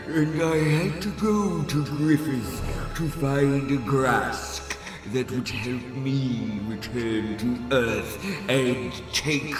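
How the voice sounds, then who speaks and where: An actor playing a vengeful, gloating trickster spirit with menacing, drawn-out theatrical delivery in an audio drama. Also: male voice, mid-range voice. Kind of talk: fan audio drama acting. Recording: very echoey, music underneath.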